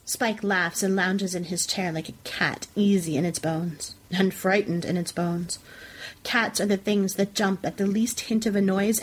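Husky woman narrating literary fiction. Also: medium pitch, poor audio. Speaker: fiction podcast reader.

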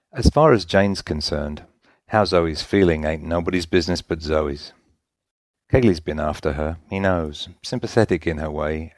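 A man's voice: a bass voice, darkish tone, no echo, clean studio sound. A man narrating a scripted story written in a folksy vernacular, as an audiobook-style reader.

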